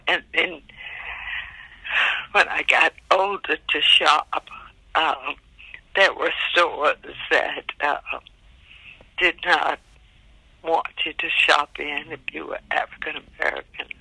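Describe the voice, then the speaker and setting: An older woman in her eighties, from Montgomery, Alabama, tells her story unhurried, pausing often. Her voice is gravelly and husky yet bright. The sound is dry and close. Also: sits low, no room sound, poor audio. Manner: slow and reflective, soft-spoken with pauses. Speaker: interviewee, great-aunt. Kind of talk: recorded family oral history.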